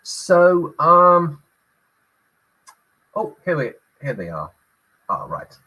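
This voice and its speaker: A middle-aged man hosting a business talk show, speaking with a croaky voice as he recovers from illness, hesitant with many fillers. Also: fairly high pitch, sing-song, very slow, sharp tone, highs cut off. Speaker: host.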